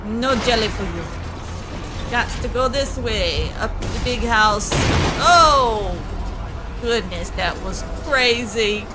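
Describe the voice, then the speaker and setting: A woman puts on a tough, whiny young game heroine. She complains bluntly in broken phrasing, in a comic parody style. Music is clearly heard behind the voice. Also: a little high, expressive, slow. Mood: exasperated. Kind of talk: comedic game parody dub.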